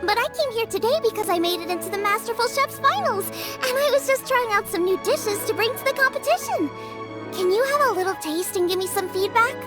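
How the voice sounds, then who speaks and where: High-voiced, perky teenage girl chef. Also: animated, brisk. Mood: friendly, hopeful. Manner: perky, eager, chatty. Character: cheerful, eager teenage girl chef, confident and energetic. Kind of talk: animated video game character acting. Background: music.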